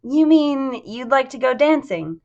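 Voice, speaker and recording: Woman acting shy secretary, higher, muffled sound. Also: sing-song, measured pace, a little mellow, heavily acted. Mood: shy, coy. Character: shy, self-contained young American secretary woman. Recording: dull lo-fi sound.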